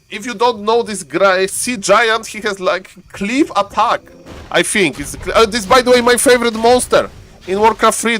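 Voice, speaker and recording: A man from Poland with a Polish accent, casting a live strategy game in chatty, excitable English and answering his chat. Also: high voice. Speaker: game caster.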